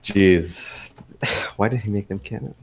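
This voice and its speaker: A man debating casually in an online voice chat, halting and repeating his phrases as he argues. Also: on the low side. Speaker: participant in an anime fan group discussion. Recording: noisy voice chat with clicks.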